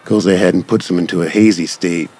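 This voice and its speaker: A man's voice: deep voice, steady tone, fast talker, warm tone, slightly rough. A man giving casual, enthusiastic, rambling gameplay commentary as a channel creator. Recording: background music behind the voice. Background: music.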